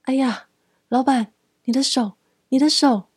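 Woman acting an excitable, high-pitched, fussy female customer. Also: unhurried, breathy edge, no echo, studio quality. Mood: alarmed. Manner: loud, fussy exclaiming. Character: excitable, hungry, dramatic younger woman diner customer. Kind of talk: radio drama acting.

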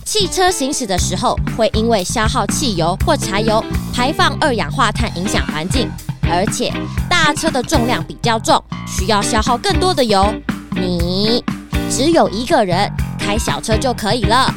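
High, animated, preachy rental clerk. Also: female voice, moderate pace. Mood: eager, preachy. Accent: Taiwanese. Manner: lecturing, eager, exaggerated. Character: earnest, preachy, cheerful car rental clerk. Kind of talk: children's radio drama. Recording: music under the voice.

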